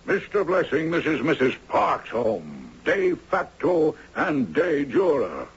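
A very husky old lawyer in a vintage radio play. He is shrewd and dogged, with formal, theatrical phrasing and a lively rise and fall. The recording is dull. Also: on the high side, slow pace, full character voice. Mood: triumphant. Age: older. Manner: theatrical, formal courtroom cross-examination. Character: shrewd, dogged elderly retired judge turned lawyer. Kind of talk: 1970s radio drama acting.

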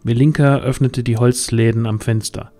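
A man's voice: mid-range voice, steady tone, moderate pace, dark tone, faint music behind. A man reading scripted narration as the storyteller of a fantasy audio drama.

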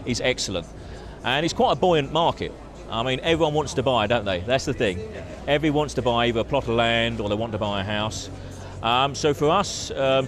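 Upbeat male exhibitor, casual interview, lapel mic, crowd chatter behind. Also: medium pitch. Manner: chatty, upbeat, fillers like "you know", "obviously". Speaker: managing director of a security firm. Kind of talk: interview at a trade expo. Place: busy indoor expo hall.

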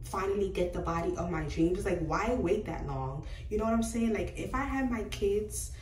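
A woman in her twenties talking casually to the camera, chatty and animated as she gestures with her hands. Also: medium pitch, moderate pace, a little hiss or hum. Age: 20s. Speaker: beauty vlogger. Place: small room indoors by a doorway. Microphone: camera at a distance. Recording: roomy, slightly distant sound.

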